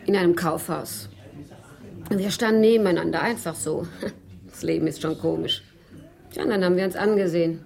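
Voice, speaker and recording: A woman's voice: on the low side, a little fast, gravelly, pauses often, a little mellow. An actress playing a worldly, self-assured woman who teases and probes, in a German radio crime drama. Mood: teasing, chatty. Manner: teasing, self-assured, probing. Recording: faint music under the voice.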